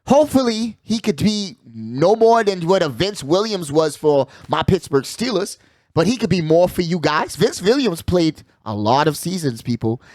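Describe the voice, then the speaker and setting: A man with a high voice hosts casual sports talk in a very animated way. His pitch swings widely as he makes emphatic points, repeating himself and dropping slangy asides. The sound is close and dry. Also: moderate pace, no room sound. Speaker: sports talk show host. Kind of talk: casual sports debate and commentary.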